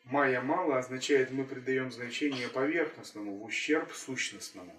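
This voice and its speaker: A man speaking as a spiritual teacher in a calm, measured way, returning again and again to the same phrases. His voice sits at an ordinary pitch. The recording is roomy and a little distant from the mic. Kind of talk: spiritual talk.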